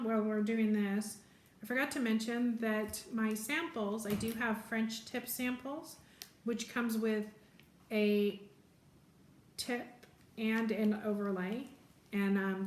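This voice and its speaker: A woman's voice: mid-range voice, a little mellow. Casual woman explaining, echoey room. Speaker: nail product stylist giving tips.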